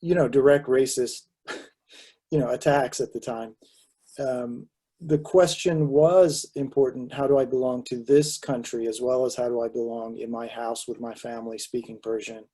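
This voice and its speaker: A man's voice: medium pitch, steady tone, moderate pace, dark tone, slightly rough. A man, a panelist giving a reflective, hesitant answer full of fillers and restarts over a video call. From Southern California. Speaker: panelist and author.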